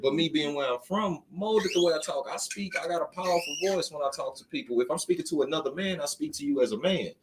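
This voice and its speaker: A man hosting a casual talk show, loud and emphatic, laughing often as he tells stories. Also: a little high, fast talker, few pauses, noisy background. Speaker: host. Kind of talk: casual podcast chat.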